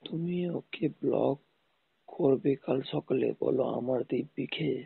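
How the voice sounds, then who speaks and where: A man with a rough, husky voice arguing insistently and repetitively in an intimate phone call. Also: medium pitch, unhurried, no echo. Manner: insistent, repeats demands. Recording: muffled tinny phone call recording.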